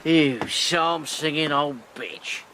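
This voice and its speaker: An actor playing a rough, hard-drinking riverboat captain in a radio drama, with slangy, sarcastic speech. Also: male voice, fairly high pitch, slow, sharp tone, faint music behind. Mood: grumbling.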